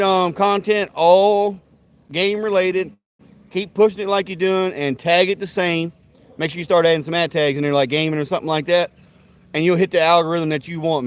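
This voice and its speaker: A man hosting a live stream outdoors at a backyard grill, rambling to his viewers with profane, rowdy trash talk and folksy banter. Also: a little high. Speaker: livestream host.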